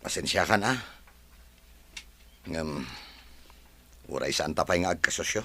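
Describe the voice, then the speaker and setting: A man's voice: a bass voice, unhurried, sharp tone, heavily acted. An actor playing a courteous, cautious businessman with a Filipino accent in a radio drama. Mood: apologetic, firm. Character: courteous, cautious businessman, farm owner turning down a partner.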